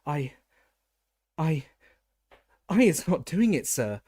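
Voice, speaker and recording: A man's voice: fairly high pitch, sing-song, slow, darkish tone, slightly rough, voice pushed up, full character voice. A man voicing a small, terrified female house-elf servant who squeals, stammers and pleads in broken grammar. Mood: frightened. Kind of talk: audiobook reading.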